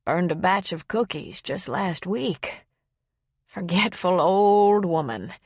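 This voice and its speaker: A woman voicing a gentle, forgetful, frail old woman who speaks in a slow, halting, plaintive way for an audiobook story. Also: lowish voice, expressive, dry recording, studio quality, full character voice. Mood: wry, forgetful.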